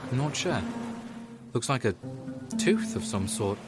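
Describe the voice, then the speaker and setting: An actor playing a weary, rule-bending detective sergeant in an audio drama, with a dry, understated delivery. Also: male voice, mid-range voice, sing-song, measured pace, over loud music. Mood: intent, wry. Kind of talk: audio drama acting with first-person narration.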